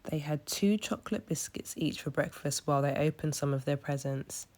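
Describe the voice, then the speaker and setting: A woman's voice: low-pitched, moderate pace, darkish tone. A woman storyteller reading a children's picture book aloud as scripted narration.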